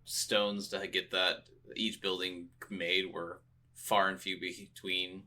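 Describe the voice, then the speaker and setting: A man chats informally as co-host of a game review show. His voice is fairly low but has a sharp, forceful edge. He talks casually and swears freely. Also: little pitch movement, slow pace. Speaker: podcast co-host.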